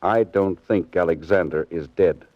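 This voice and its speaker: An actor playing a cold, relentless chief insurance investigator in a 1940s radio drama, speaking in a grave, deliberate, self-assured way. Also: male voice, deep voice, very noisy. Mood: cold, probing. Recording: dull, dry vintage 1940s radio recording.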